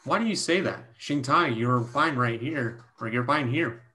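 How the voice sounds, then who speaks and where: A man playing a grumbling, loyal young deaf Chinese mathematician, reading haltingly in a staged play reading. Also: medium pitch, sharp tone. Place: home room, video call.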